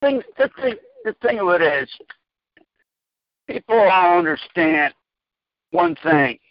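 Man, high lively voice, gravelly, folksy rambling chat, dry close sound. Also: slow, dry recording, highs cut off. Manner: folksy, rambling, repeats words. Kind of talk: casual chat.

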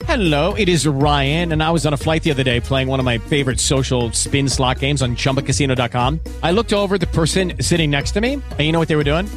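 A man's voice: on the high side, fast. A man reading an advertisement in an upbeat, conversational style. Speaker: advertisement announcer. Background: music.

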